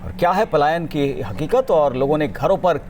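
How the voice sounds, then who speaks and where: A man's voice: a little high, lively intonation, normal speed, darkish tone, slight background noise. A man anchoring the news, reading a scripted lead-in to a special report.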